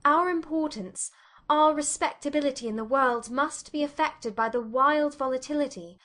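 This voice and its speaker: A woman voicing an earnest, sensible young woman who speaks in a measured, serious manner in an audiobook. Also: high-pitched, fairly bright tone, pitched above the performer's own voice.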